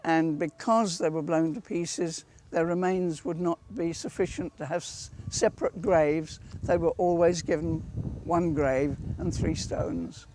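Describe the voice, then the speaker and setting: A British woman in her eighties reminisces in an interview in a measured, articulate way, recorded outdoors. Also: lowish voice, warm tone. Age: 80s. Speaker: war widow being interviewed. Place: outdoors at a war cemetery. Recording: noisy outdoor location recording.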